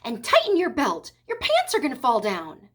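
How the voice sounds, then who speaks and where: A woman's voice: sits high, huge pitch swings, moderate pace, a bit bright, full character voice. A woman voicing a fretful, fussy farm wife from a storybook, in a nagging manner. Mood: fussy scolding.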